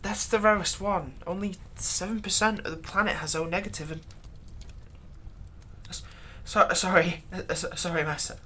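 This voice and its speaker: A man's voice: high voice, fairly even intonation, moderate pace, pushed and bright, a little hiss or hum. A man playing a servile, nervous vampire's thrall in a roleplay audio drama, stammering and deferential. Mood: nervous, apologetic. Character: servile, nervous male vampire's thrall, bitterly eager to please.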